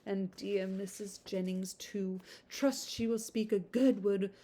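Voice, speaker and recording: A woman reading as a young Regency letter-writer, formal, measured and politely ingratiating. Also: mid-range voice, fairly even intonation, mellow, close to an everyday voice. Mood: polite, self-pitying.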